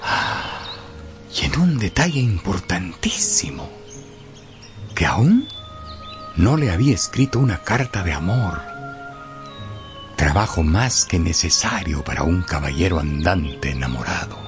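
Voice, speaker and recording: A man narrating a radio drama as a wry, expressive storyteller. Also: sits low, measured pace, long pauses. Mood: wry storytelling. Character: wry, leisurely male storyteller. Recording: studio radio drama with background music.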